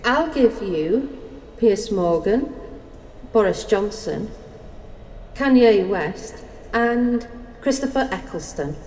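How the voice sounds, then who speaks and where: A woman's voice: mid-range voice, expressive, slow pace, long pauses, a little mellow. An actress playing Life personified in an audio drama, a passionate, scheming woman with a dramatic, imperious manner. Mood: bargaining. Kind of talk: theatrical audio drama acting. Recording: noisy, echoey hall with long reverb.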